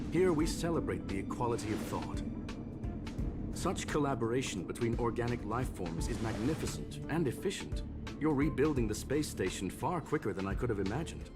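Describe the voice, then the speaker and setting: A man voicing a refined, courteous robot gentleman in a video game, speaking formally and with measured curiosity. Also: mid-range voice, lightly acted. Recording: prominent game music behind voice. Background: game music.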